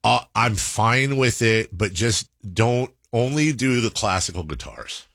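A man's voice: on the low side, animated, unhurried, fairly bright tone, no room sound, clean studio sound. A man hosting a sports radio show, joking and rambling with frequent restarts. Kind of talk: casual radio banter.

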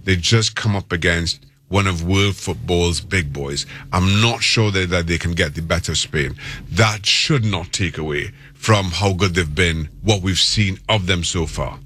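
A man with a Trinidadian accent giving considered soccer analysis as a guest, measured and articulate, often repeating words for emphasis. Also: low voice, sharp tone, a little hiss or hum. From Trinidad and Tobago. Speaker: soccer pundit, interview guest.

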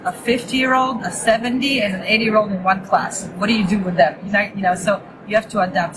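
A woman's voice: medium pitch, noisy background. A woman who grew up in Israel with Hebrew as her first language, chatting as a yoga teacher guest in a loose, upbeat way, restarting often and laughing easily. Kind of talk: casual interview chat.

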